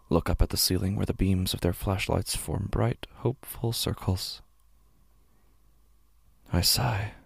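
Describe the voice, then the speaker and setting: Low, gravelly man acting a weary, frightened father. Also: dry recording, exaggerated voice. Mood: weary dread. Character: weary, frightened father narrating a horror story. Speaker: narrator. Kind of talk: first-person horror audio drama narration. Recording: music under the voice.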